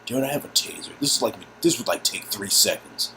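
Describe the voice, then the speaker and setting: An animated narrator performs an interactive story game aloud. The delivery is dramatic and slips into puzzled, joking asides. It is a home recording with faint noise behind. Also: mid-range voice, brisk.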